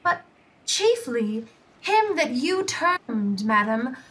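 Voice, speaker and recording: A woman plays an airy spirit servant in a Shakespearean radio play. The voice is high and unhurried, deferential and gentle toward its mistress. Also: big pitch swings, exaggerated voice. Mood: dutiful, tender. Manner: measured, deferential.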